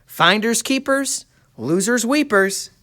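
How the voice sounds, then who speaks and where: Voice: medium pitch, expressive, slow pace, sharp tone. A performer playing an eager, good-hearted schoolboy in a puppet show, speaking in an earnest, boyish way. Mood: excited. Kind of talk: church puppet show dialogue.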